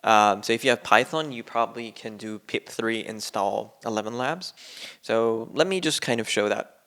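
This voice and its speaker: A man's voice: lowish voice, moderate pace, a bit bright. A man walking through a coding tutorial on his screen in a loose, rambling way with lots of fillers. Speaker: coding instructor. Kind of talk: casual screen-share tutorial. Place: at a computer, screen recording.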